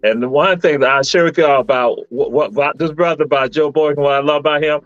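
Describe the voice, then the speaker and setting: A man's voice: a little high, steady tone, fast talker, a bit bright, dry recording, highs cut off, soft background music. A man hosting a casual sports chat show, rambling and restarting his sentences. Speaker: show host.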